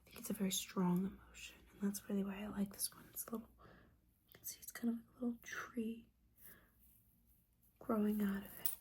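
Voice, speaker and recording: A woman playing a gently judging, caring witch mentor in an ASMR roleplay, whispering soothingly and conversationally. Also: mid-range voice, little pitch movement, brisk, long pauses, natural voice, low-quality recording. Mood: gently coaxing. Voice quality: breathy whisper.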